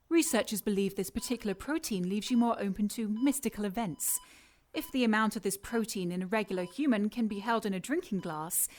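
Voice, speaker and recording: An actress playing a condescending scientist villain in a radio drama, clinical and taunting as she addresses her captive in an unhurried way. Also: mid-range voice, a little mellow, lightly acted.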